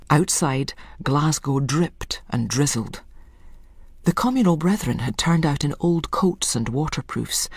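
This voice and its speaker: Story narrator, mid-pitched and husky, very varied intonation, measured literary delivery, dry studio sound. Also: no echo.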